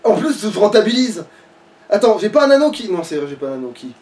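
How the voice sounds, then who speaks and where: A man's voice: sits high, big pitch swings, quick pace, a little mellow. A man chatting casually as he plays a video game, slangy and prone to grumbling. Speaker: let's play player and commentator. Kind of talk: casual gaming commentary chat. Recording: distant mic, faint background noise.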